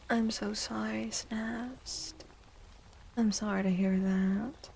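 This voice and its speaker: A woman speaking softly and gently in a breathy, soothing ASMR style, often slipping into a whisper as she chats with stream viewers. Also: a little high, very slow, slight background noise. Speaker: livestreamer hosting an ASMR stream. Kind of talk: soft-spoken ASMR, chatting with viewers. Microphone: close microphone.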